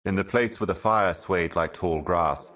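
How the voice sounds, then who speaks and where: A man voicing an ancient, otherworldly envoy in a video game, delivering cryptic monologues in a solemn, measured, portentous manner. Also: low-pitched, a bit bright. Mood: solemn, ominous. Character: ancient cosmic envoy, solemn otherworldly prophet serving higher powers.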